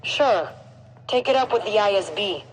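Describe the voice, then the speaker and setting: A woman's voice: medium pitch, animated, moderate pace, close to an everyday voice, rough recording. Woman as a cocky, bluffing rogue trooper. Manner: casual, cocky, improvising. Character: brazen young rogue bluffing as an Imperial trooper. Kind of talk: cinematic game acting.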